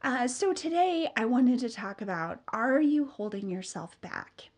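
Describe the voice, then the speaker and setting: A woman's voice: a little high, lively intonation, measured pace, a little mellow. A woman in her thirties hosting a solo business podcast, chatty and upbeat with many fillers, sounding a bit nasal from a cold. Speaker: podcast host and business mentor.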